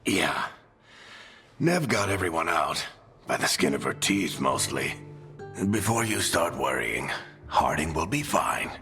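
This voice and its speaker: A man playing an aging, tough, wounded dwarf in a video game, wry, fatherly and unhurried in his delivery. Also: rumbling low, sing-song, bright, forceful tone, big-room sound, soft background music, full character voice. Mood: reassuring, rueful. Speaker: video game character actor. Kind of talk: dramatic video game voice acting.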